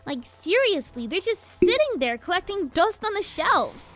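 A woman's voice: very high voice, big pitch swings, normal speed, fairly bright tone, slight background noise, exaggerated voice. A woman voicing a sassy, scheming young filly pony villain in a cartoon-style fan audio drama. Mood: scheming, smug. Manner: sassy, defensive.

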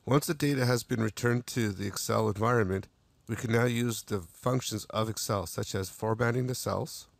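A man narrating a screen-recorded software tutorial, walking through each step in a steady way with small restarts. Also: lowish voice, normal speed, gravelly, no room sound.

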